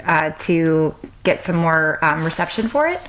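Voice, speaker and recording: A woman hosting a podcast, asking an author short questions and encouraging her with quick agreements. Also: deep voice, lively intonation, fairly bright tone, a little hiss or hum, rough recording. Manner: brief prompts and encouraging backchannels. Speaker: podcast host. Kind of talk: interviewing a guest.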